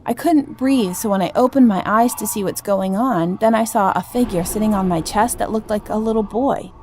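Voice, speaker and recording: A woman's voice: medium pitch, moderate pace, a little mellow, a little hiss or hum. A woman reading a scripted first-person ghost story as a narrator.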